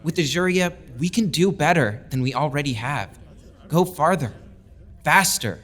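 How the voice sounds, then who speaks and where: Impassioned young officer. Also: male voice, on the high side, animated, normal speed, fairly bright tone. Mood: hopeful, urgent. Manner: impassioned, oratorical. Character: earnest young male military lieutenant, proud outsider pleading his cause. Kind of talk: full-cast audio drama speech.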